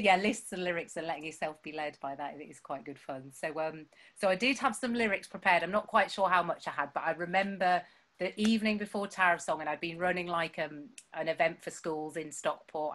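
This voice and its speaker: A woman's voice: low voice, fairly quick, fairly bright tone. A woman, chatting casually about writing her songs, with lots of fillers and self-deprecating humor. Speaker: songwriter guest. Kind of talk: casual chat. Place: home.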